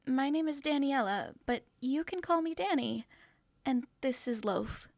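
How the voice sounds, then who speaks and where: A woman's voice: high voice, animated, measured pace, creaky, a little mellow, no echo, studio quality, full character voice. A woman voicing a timid, apologetic young girl who stammers nervously. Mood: friendly. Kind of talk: fantasy audio roleplay.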